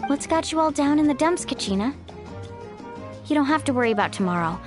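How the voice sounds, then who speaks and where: A woman's voice: sits high, animated, brisk. A woman voicing a confident, encouraging young warrior leader in a video game. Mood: reassuring. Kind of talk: video game character acting. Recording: music behind the voice.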